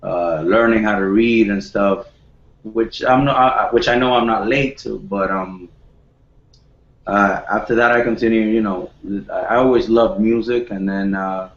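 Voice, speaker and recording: A young man in his twenties from the Dominican Republic talks modestly about making music, often restarting his sentences. His voice is low for a man. He sounds like he is in an echoey room, coming through dull lo-fi call audio. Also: steady tone. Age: 20s. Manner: modest, halting, many restarts and fillers. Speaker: guest, home studio producer. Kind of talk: casual video call chat.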